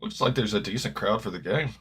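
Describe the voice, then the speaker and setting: A man with a slightly gravelly voice, chatting casually as he reacts live to a football match, rambling and correcting himself as he goes. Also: lowish voice, fairly quick, fairly bright tone. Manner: rambling, self-correcting, hopeful fan. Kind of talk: casual live-stream chat.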